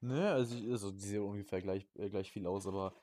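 A man's voice: on the low side, quick pace, warm tone. A young man chatting casually as a podcast co-host, rambling in slang and often repeating his words. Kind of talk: casual chat.

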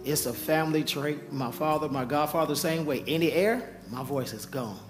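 A man who is a pastor giving an altar call and announcements to his congregation, with a hoarse voice he says he keeps losing. He talks in a coaxing, repetitive preaching manner. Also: on the high side, normal speed. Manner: urgent repeated coaxing, preacherly. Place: church sanctuary. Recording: live church sound with music and crowd. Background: music and congregation.

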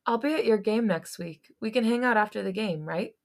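A woman reading the lines of a flustered young office worker who speaks quickly and pointedly. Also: mid-range voice, close to an everyday voice.

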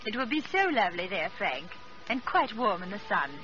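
An actress playing a gentle, contented middle-aged wife in 1940s radio acting style. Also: female voice, medium pitch, big pitch swings, normal speed, lightly acted. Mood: gentle, pleased. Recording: old lo-fi radio with music.

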